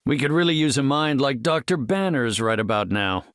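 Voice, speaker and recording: An actor playing a courteous, confident middle-aged superhero scientist in a video game, speaking in an articulate, formal and erudite way. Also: male voice, medium pitch, animated, moderate pace, a bit bright, no echo, studio quality. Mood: thoughtful, composed. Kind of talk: video game character voice lines.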